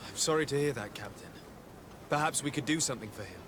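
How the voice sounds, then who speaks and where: A man's voice: mid-range voice, animated, fast talker, noisy background, lightly acted. An actor playing a formal, determined young nobleman and envoy in a video game cutscene, speaking with probing authority. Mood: concerned.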